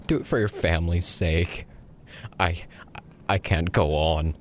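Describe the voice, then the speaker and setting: A man voicing a dying soldier in a war story, halting and pleading between coughs. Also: sits low, a little hiss or hum. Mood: failing, pleading. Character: dying male soldier begging comrades to continue.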